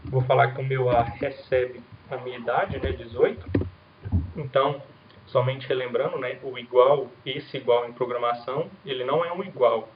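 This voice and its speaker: A man's voice: mid-range voice, fairly even intonation, normal speed. A man teaching an introductory programming class, explaining step by step in a casual way and often checking in with "right?". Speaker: programming instructor. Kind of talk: recorded coding lesson with screen demo. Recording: roomy, dull lo-fi sound, faint hiss.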